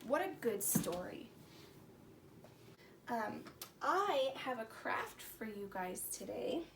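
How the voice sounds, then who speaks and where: A woman hosting a story time for young children, talking in simple, animated, exclaiming phrases. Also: on the high side, takes it slowly. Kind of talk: expressive read-aloud to preschool children. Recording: roomy echo, distant mic, background noise.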